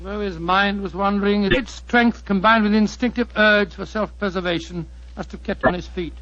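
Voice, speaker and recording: A man's voice: high voice, fairly even intonation, normal speed, fairly bright tone. An actor playing an earnest English doctor narrating his friend's adventure dramatically, with a British accent, in 1940s radio style. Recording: dull 1940s radio recording.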